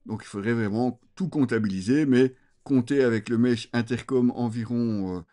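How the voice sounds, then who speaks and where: Male reviewer, relaxed mellow voice, mid pitch, casual step-by-step explaining with hesitations. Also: normal speed. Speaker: product reviewer.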